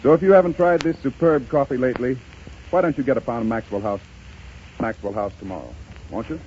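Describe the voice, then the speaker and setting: A man working as a radio announcer, delivering a scripted 1930s sponsor pitch. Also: brisk. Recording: muffled, noisy 1930s radio broadcast.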